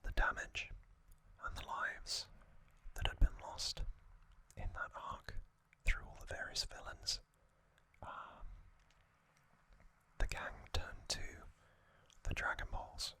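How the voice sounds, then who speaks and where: An ASMR host whispering an explainer in a hushed delivery, with frequent pauses.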